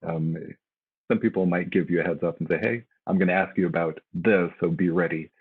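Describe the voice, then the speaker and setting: A man's voice: deep voice, fairly even intonation, brisk, husky. A man on a faculty panel, giving casual, hesitant advice with many fillers over a video call. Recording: tinny phone-like line.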